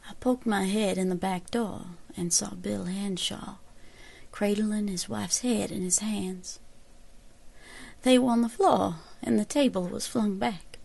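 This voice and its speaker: A woman playing a grim elderly frontier woman with a Southern American accent, giving a deliberate, folksy first-person account in an audio drama. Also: mid-range voice, fairly even intonation, gravelly, a little mellow, breathy edge. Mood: grave, tense. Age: older.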